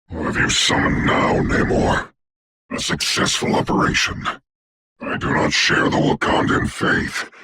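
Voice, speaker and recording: An actor voicing a commanding, haughty dark sorcerer for a video game, speaking in a formal, grandiose, deliberate way with a gravelly, breathy voice. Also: male voice, heavy background noise, close to an everyday voice. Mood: solemn, commanding. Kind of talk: theatrical hero game voice lines.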